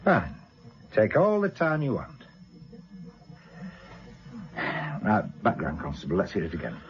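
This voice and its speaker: An actor playing a world-weary, sardonic British police inspector who questions bluntly, in a radio drama. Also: male voice, on the low side, huge pitch swings, brisk, dull audio, noisy background. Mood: probing, brisk.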